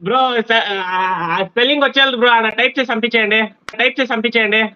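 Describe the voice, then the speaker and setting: A man's voice: very high-pitched, a little fast, background noise. A man in casual chat, informal and often repeating short phrases. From Karnataka, India. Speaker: stranger on a random video chat. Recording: muffled online video chat audio.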